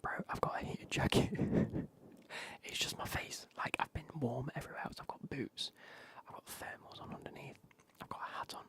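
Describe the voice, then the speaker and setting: A man's voice: mid-range voice, steady tone, moderate pace, fairly bright tone. Whispering man with a cold. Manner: whispered, chatty, repeats phrases. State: has a cold coming on. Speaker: gaming streamer. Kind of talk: whispered ASMR gaming livestream.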